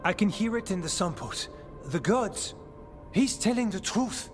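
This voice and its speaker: Fantasy game scholar-warden: male, earnest and hesitant, very lively pitch, music behind. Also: measured pace. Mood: earnest, uneasy. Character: earnest, uneasy male warden scholar, sensitive to dark forces. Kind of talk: dramatic fantasy game acting.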